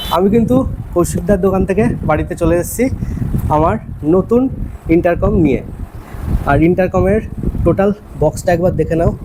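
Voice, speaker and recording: A man's voice: high voice, rough recording. A man with a West Bengal Bengali accent giving a casual unboxing review, explaining things directly to viewers. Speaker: vlogger and product reviewer.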